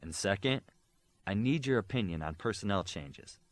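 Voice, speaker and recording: A man's voice: lowish voice, expressive, moderate pace, lightly acted. A man voicing a polite, officious male administrator in an audiobook, formal and earnest. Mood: grateful, formal.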